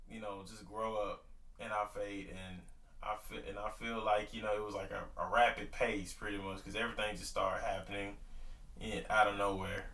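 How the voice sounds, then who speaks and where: A man's voice: lowish voice, flat delivery, normal speed, a bit bright, roomy sound, far from the mic, low-quality recording. A man in his early twenties, talking casually about his marriage and faith, with lots of fillers and loose grammar. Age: 20s. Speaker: podcast co-host. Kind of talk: casual faith-based couple talk.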